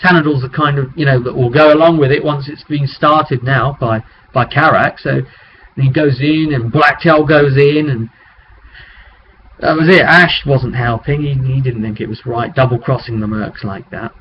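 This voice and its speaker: A man's voice: mid-range voice, normal speed. British man on a muffled, noisy webcam recording. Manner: chatty, informal, conversational asides to the viewer. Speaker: game master recapping his tabletop session. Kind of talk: casual vlog storytelling. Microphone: webcam microphone. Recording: muffled webcam audio with clear noise.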